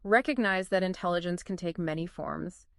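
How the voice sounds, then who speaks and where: A woman's voice: fairly low pitch, normal speed, creaky, no echo. A woman co-hosting a conversational book discussion podcast, explaining things in an agreeable way. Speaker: podcast co-host.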